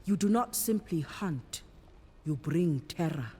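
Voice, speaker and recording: Husky, slow, commanding priestess. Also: female voice, low-pitched, animated, warm tone, full character voice. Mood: solemn, assured. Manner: solemn, measured, philosophical. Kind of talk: cinematic fantasy game acting. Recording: long hall-like reverb.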